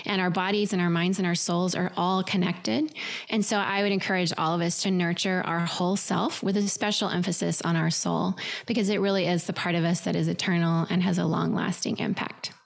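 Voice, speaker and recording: A woman in her forties talks earnestly from her home office, her voice sitting a little low. She speaks close into a large desk microphone, now and then dropping in a soft laugh or a filler. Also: steady tone, fairly quick, vocal fry. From Venezuela. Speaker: guest speaker, former pastor. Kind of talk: giving tips to camera. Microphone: large desk mic close in front, earbuds.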